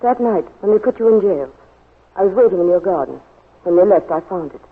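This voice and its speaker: A woman's voice: fairly low pitch, lively intonation, fairly quick, breathy edge. An actress playing a brave, earnest woman spy in love in a 1940s radio drama. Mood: urgent, earnest. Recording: muffled old 1940s radio broadcast, faint music.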